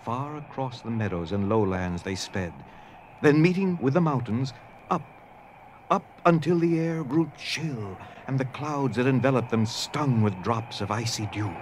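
A man narrating a dramatized story theatrically, with dramatic pauses and hushed emphasis. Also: mid-range voice, animated, noisy background. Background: music.

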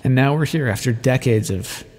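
A man's voice: mid-range voice, normal speed, darkish tone, close to an everyday voice. A man playing a bitter, sardonic vampire scientist in an audio roleplay, wry, wordy and theatrical. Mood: wry, resigned. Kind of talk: ASMR roleplay monologue, dramatic storytelling. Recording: long reverberant echo on the voice.

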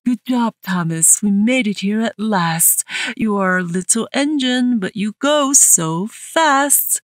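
A woman voicing a hurried lady judge from a children's story, urging and exclamatory. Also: mid-range voice, animated, no echo, studio quality. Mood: pleased.

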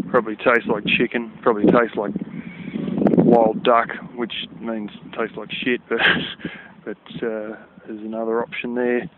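A man with an Australian accent, casually rambling as he walks outdoors by a river, blunt and chatty with offhand swearing. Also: medium pitch. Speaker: vlogger. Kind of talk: casual walking commentary. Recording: tinny phone-like outdoor recording.

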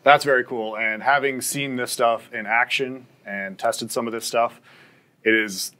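A man's voice: medium pitch, fairly even intonation, measured pace, pushed and bright. A man in his thirties chatting casually as the host and interviewer on a factory tour. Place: indoors, sound-testing room and factory floor.

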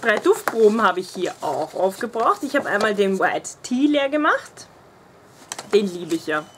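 A woman's voice: mid-range voice, very animated, normal speed. Viennese girl in her 20s rambling about her empties, chatty and gushy, faint hiss in the room. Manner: chatty, gushing, laughs often. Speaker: beauty vlogger. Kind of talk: casual empties review. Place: small cluttered room at home, indoors.

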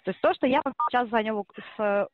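Fast-talking woman on a muffled phone line. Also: a little high, dry recording. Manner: insistent, explaining at length. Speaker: call-center operator. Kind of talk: phone sales call.

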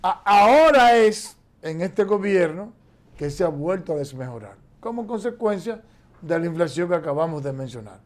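A man's voice: high voice, lively intonation, normal speed. A man, giving emphatic economic commentary in a TV studio. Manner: emphatic, gestures constantly while explaining. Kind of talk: political commentary on a TV news show. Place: TV studio, seated in chair.

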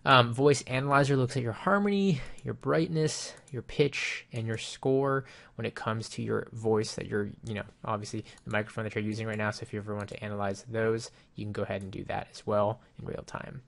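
A man with a creaky voice explaining software casually and informally, as a reviewer does in a screen-recorded walkthrough. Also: fairly low pitch, steady tone, fairly quick.

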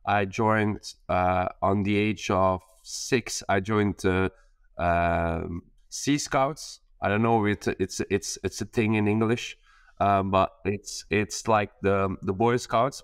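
A man's voice: lowish voice, clear, resonant tone, no echo, clean studio sound. A man in his thirties from Belgium, a startup founder speaking as a guest on a video call, hesitant with many fillers and restarts. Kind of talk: casual interview on a video call. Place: bright attic room with skylight.

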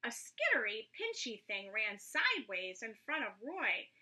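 Female storybook reader: high, very bright voice, unhurried, animated read-aloud. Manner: deliberate, animated. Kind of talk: expressive children's read-aloud. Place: small living room, indoors.

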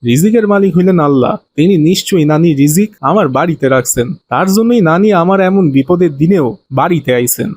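Man acting fast-talking humble Bangladeshi villager Rahim. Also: sits high, lively intonation, a little mellow, a little hiss or hum. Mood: reassuring, devout. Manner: earnest pleading in colloquial dialect. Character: poor, devout, hospitable village husband Rahim, humble and earnest. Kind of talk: animated moral story dialogue.

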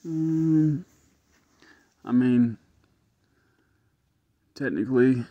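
A man's voice: medium pitch, drawn-out words, dry recording, narrow, tinny audio. A man thinking aloud as he tests an engine at his garage workbench, casual and a bit frustrated. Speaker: home mechanic vlogger. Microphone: phone camera held close.

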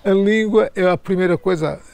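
A man's voice: high voice, normal speed, vocal fry, a little mellow, clean tone. An older man, answering interview questions hesitantly, with frequent restarts and repeated words.

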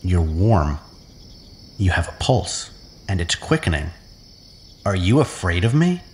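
A man's voice: sits low, sing-song, unhurried, a little hiss or hum. A man playing an obsessive, manipulative captive in an audio drama, with an intense, commanding, brooding manner. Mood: insistent, manipulative.

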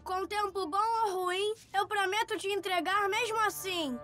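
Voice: very high-pitched, steady tone, moderate pace, faint music behind. A performer with a Brazilian accent voicing a cheerful, polite little boy in a children's cartoon dub. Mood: eager. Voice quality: bright, childlike. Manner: eager, courteous.

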